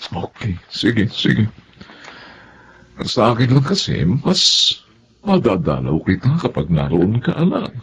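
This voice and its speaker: A man playing a gentle, persuading middle-aged Filipino father in a radio drama. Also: fairly low pitch, big pitch swings, slow pace, pauses often, full character voice. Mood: reluctant, pleading.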